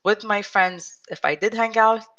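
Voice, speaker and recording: A woman in her thirties, an interview guest telling her own story in an earnest, reflective way, speaking with a stutter, frequent restarts and pauses. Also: medium pitch, fairly bright tone, lo-fi sound. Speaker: interview guest, support group founder. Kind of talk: personal storytelling in conversation.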